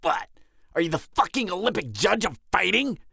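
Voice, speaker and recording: A man's voice: high-pitched, sing-song, moderate pace, creaky, pushed and bright, breathy edge, no echo, studio quality, full character voice. A man playing a foul-mouthed, indignant vampire henchman in an audio roleplay, protesting in an aggrieved way. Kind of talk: dramatic audio roleplay acting.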